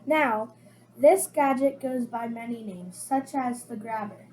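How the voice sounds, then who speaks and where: Higher-voiced female reader with background noise. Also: measured pace. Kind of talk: children's picture book read-aloud.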